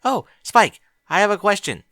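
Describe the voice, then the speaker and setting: An eager, bookish young pony mare with a bright, lively lilt, as a man puts her on. She talks quickly and chattily, climbing high with excitement. The sound is dry and close. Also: no echo, full character voice. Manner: eager, chatty, higher-pitched. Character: bookish cheerful young female pony mage. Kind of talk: audiobook character voice.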